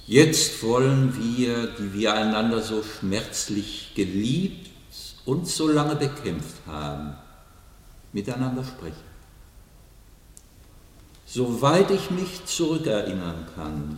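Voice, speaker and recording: A solemn, godlike, declaiming figure. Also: male voice, mid-range voice. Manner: grave, sweeping, declamatory. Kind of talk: radio play acting. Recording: echoey hall from far off.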